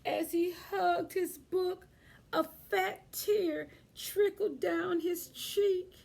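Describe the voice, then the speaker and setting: A woman's voice: very high voice, steady tone, extremely slow, gravelly, a little mellow. A woman leading a children's storytime, reading aloud and chatting expressively to young listeners.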